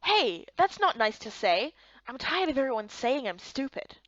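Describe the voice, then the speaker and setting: A woman playing an earnest, chatty young heroine in a fantasy game, speaking in an expressive, exclaiming way. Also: sits high, moderate pace, no room sound, dull audio, full character voice. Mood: hurt.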